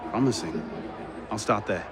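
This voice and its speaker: Man playing a low-voiced, determined young assassin. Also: lively intonation, moderate pace, a crowd in the background, background noise, lightly acted. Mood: focused. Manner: terse, understated. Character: determined young male assassin, cool and brisk. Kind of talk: video game cutscene acting.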